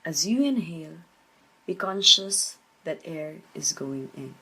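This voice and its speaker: A woman leading a guided meditation, giving measured instructions with pauses between phrases. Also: low voice, expressive, sharp tone, husky, low-quality recording. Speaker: meditation teacher.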